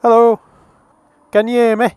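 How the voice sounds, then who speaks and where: A man's voice: very high voice, monotone, moderate pace, smooth, no echo. A man with an English accent, chatting casually and jokily over a motorbike intercom, rambling a little with dry humour. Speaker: motorcycle vlogger. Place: outdoors, largely riding a motorbike. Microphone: helmet intercom microphone. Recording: loud wind and engine noise. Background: wind, motorbike engine and road noise.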